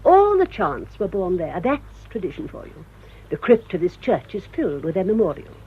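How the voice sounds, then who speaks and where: An actress playing a sour, haughty older English village spinster in a radio drama, with pointed, formal politeness. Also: female voice, mid-range voice, wildly swooping pitch, normal speed, slightly rough. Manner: clipped, pointed, formal politeness with barbed digs. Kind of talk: British radio drama acting. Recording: dull, noisy old radio drama recording.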